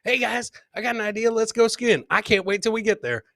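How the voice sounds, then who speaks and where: A man's voice: high-pitched, fairly quick, very noisy. A man hosting a comedy podcast, casually commenting on clips in a boisterous, slangy way with repeated catchphrases.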